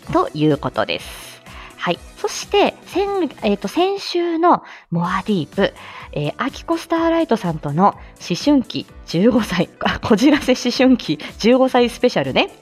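Chatty giggly lady doing a morning live chat, really animated pitch, bit of music behind her. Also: a little slow, breathy edge. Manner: polite explanatory phrasing mixed with playful asides. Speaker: live audio show host; speech-language therapist.

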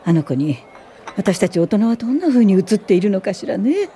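An actress playing a resolute, compassionate female social worker and mentor in a radio drama, earnest and gently indignant. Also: mid-range voice, sing-song, fairly quick, lightly acted. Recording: studio radio drama with music underneath.

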